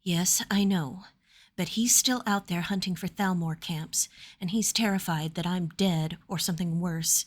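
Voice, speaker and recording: A woman reads the part of a young noblewoman in a fantasy storybook. Her voice is soft and earnest, lifted a little in pitch, with a gentle, worried and principled temper. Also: fairly even intonation, measured pace. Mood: worried, guilty.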